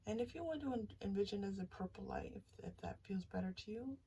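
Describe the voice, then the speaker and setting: A woman hosting a casual tarot reading, speaking to listeners directly in a soothing, reassuring way and haltingly thinking aloud. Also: medium pitch, animated, mellow, dull audio. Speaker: tarot reader and channel host. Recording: distant mic, faint background noise.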